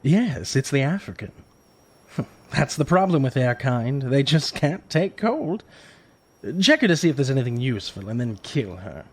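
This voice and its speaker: An actor playing a cold, cruel weather mage in an audio drama, speaking with smug, deliberate menace. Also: male voice, medium pitch, sing-song, breathy edge. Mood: contemptuous.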